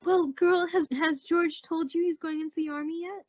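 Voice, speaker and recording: Breezy best friend played by a woman, high voice, teasing and gossipy, muffled vintage radio comedy. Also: steady tone, normal speed, darkish tone. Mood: wry, teasing. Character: wry, chummy, gossipy female friend of the housewife. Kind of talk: 1940s radio sitcom acting. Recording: muffled old-time radio style recording.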